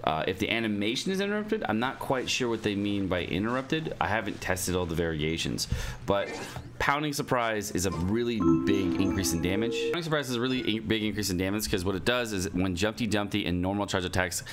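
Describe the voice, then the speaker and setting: A man's voice: lowish voice, lively intonation, a little fast, over music. A young adult man giving casual gaming news commentary as a streamer, informal and slangy in manner. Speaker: game streamer. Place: indoor home streaming setup.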